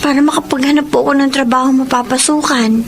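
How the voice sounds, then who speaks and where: An actress playing a dutiful, selfless young granddaughter in an emotional radio drama, speaking earnestly and respectfully. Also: female voice, sits high, steady tone, fast talker, crowd noise. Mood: earnest, resolute.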